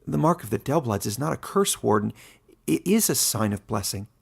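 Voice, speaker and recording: A man voicing a young outcast log splitter in a fantasy story, emotional and halting, bitter yet humble. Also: mid-range voice, big pitch swings, gravelly, slightly rough, exaggerated voice. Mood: hurt, defiant. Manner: halting, emotional, stammering apologies and protests.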